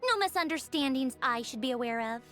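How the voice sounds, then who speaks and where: A woman's voice: high-pitched, animated, a little fast, sharp tone, soft background music. A woman playing a poised, teasing noblewoman in authority in a video game, haughty yet caring, with polished wry phrasing. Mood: cool authority. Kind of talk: video game character acting.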